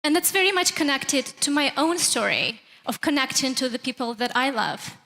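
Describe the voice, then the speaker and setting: A woman's voice: high-pitched, expressive, normal speed, pushed and bright. A woman with a Russian accent giving a prepared conference talk as a presenter in a large hall. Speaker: presenter at a youth tech summit. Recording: echoey hall, long reverb.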